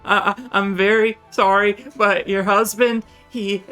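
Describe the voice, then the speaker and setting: A stammering, easily flustered young monster guy from a game, played by a woman. He is timid and apologetic, and his words come slowly over faint music. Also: medium pitch, fairly even intonation, fairly bright tone, lightly acted. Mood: nervous stammering.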